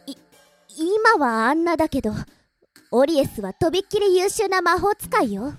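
A very high, girlish demon with an imperious, scolding manner, swinging freely in pitch. She is proud and quick to take offence, in anime radio drama style over loud music. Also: sing-song, exaggerated voice. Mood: indignant, proud. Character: haughty, scolding young demon-race girl, easily offended.

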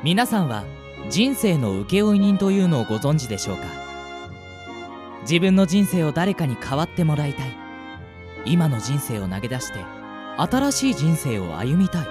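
A man narrating the opening of an amateur radio drama in a measured, storytelling way. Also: a little high. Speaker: radio show co-host and narrator.

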